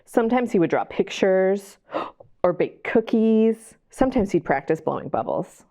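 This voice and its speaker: A woman hosting storytime, reading a picture book to young children in an animated way, with chatty questions and asides to the listeners. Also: medium pitch, unhurried. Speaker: library storytime host and reader.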